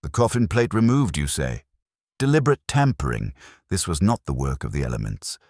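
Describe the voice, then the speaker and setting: A man's voice: a bass voice, very animated, a little fast, a little mellow, no room sound, clean studio sound, full character voice. A man voicing an indignant, righteous vicar in a formal, pompous, exclamatory manner for a radio-style mystery. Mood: righteous fervor. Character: indignant, righteous male vicar, fussy and fervent.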